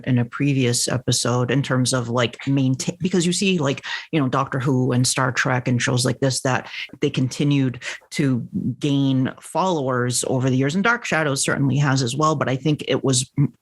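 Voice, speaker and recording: A man's voice: mid-range voice, fairly even intonation, moderate pace. An American man chatting casually as a podcast host.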